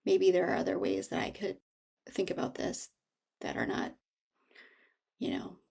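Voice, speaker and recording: A woman speaking reflectively as an interview guest, halting and thoughtful as she searches for her words. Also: fairly low pitch, level tone, creaky, darkish tone, breathy edge. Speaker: author, guest.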